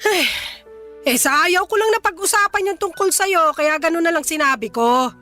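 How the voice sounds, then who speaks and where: A woman's voice: extremely high pitch, moderate pace, sharp tone, soft background music. An actress playing a scolding, unapologetic mother of a grown son in a Filipino radio drama, with a nagging, dismissive manner. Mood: defensive, indignant.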